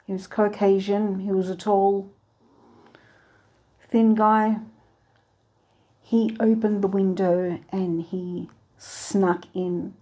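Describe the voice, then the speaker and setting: Soft-toned, husky woman telling a dream. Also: medium pitch. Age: adult with a three-year-old daughter. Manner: earnest, halting, searching for words. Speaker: channel host recounting a dream. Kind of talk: personal dream testimony.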